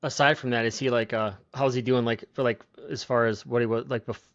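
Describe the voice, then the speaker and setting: A man in his forties in a casual podcast chat who talks haltingly and fills gaps with "like". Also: mid-range voice, fairly even intonation, no room sound. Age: 40s. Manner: halting, full of "like" fillers. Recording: dull lo-fi dry sound.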